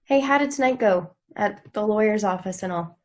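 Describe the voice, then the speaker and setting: A woman reading the part of a wounded, wistful woman longing to escape, softly confiding, in a dramatic table read. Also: medium pitch, moderate pace, close to an everyday voice. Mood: concerned.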